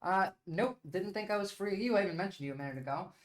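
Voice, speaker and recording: A woman's voice: medium pitch, expressive, fast talker. A Turkish-born woman, debating her chat on a casual livestream. She argues bluntly and emphatically, peppering her points with "like". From Turkey. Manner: blunt, argumentative and emphatic, with frequent "like" fillers.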